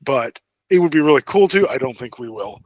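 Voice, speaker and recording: A man co-hosting a podcast in casual fan chat, thinking aloud in a halting way. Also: mid-range voice, animated, a little mellow, no room sound, dull audio. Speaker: podcast co-host.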